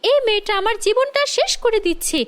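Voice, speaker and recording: A woman's voice: extremely high pitch, expressive, brisk, a bit bright. A woman voicing a spoiled, jealous rich schoolgirl in a children's cartoon, bratty and demanding. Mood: resentful.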